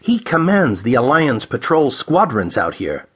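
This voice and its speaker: A man's voice: mid-range voice, sing-song, measured pace, slightly rough. A man voicing a serious, taut ex-navy space outlaw in a pulp science fiction audiobook. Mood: grave. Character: tense alert human space outlaw captain, ex-navy.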